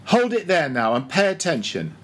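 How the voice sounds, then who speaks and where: Man playing a bossy, high-pitched ship's mate. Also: sing-song, moderate pace, fairly bright tone. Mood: mock-solemn, bossy. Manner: commanding, interrogating, mock-solemn. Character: bossy, mocking male ship's mate, badgering and pompous.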